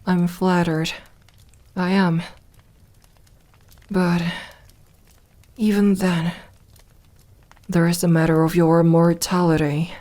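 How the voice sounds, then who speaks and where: A woman voicing a lonely, guarded yet tender immortal vampire girl in an intimate ASMR roleplay, speaking softly and haltingly. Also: lowish voice, flat delivery. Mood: wistful, pained. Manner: soft, hesitant, halting delivery with sighs.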